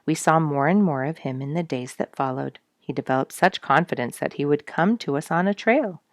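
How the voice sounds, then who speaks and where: A woman reading a nature story aloud as a storyteller, with expressive narration and deliberate, dramatic emphasis. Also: low-pitched, dry recording, studio quality. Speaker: storyteller reading an audiobook chapter.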